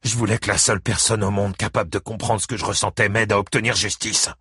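A man voicing a battered, lonely ex-convict father, gruff, curt and wry, in an audiobook novel. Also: on the low side, fairly even intonation, fast, pushed and bright, no echo, studio quality, heavily acted. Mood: defensive.

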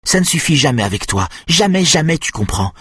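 A stern, cruel matriarch in an audiobook, acted out by a man. The voice is raised in pitch and very husky, commanding and accusing, worn down yet fiercely set on obedience. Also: lively intonation, normal speed, no room sound, clean studio sound. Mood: furious, accusing. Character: cruel, domineering, exhausted matriarch obsessed with family obedience. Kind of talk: audiobook character acting.

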